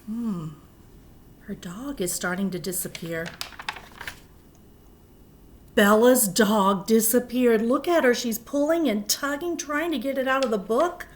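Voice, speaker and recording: A woman with a fairly high voice reads a picture book aloud as a teacher would for small children. She is expressive and animated, adding little asides to her listeners. There is some background noise in the recording. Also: a little slow, pauses often. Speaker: elementary school teacher reading aloud.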